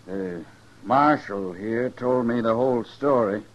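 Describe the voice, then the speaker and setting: A man acting as an old frontier rancher, medium in pitch and gruff. He is halting and blustery with a soft heart underneath, on a dull 1950s radio western recording. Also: close to an everyday voice. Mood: halting, sheepish. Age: older.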